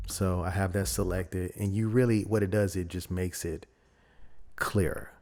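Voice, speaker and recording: Low-voiced man giving a casual software tutorial. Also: fairly even intonation, moderate pace. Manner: informal, explanatory, thinking aloud. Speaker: audio editing tutorial presenter.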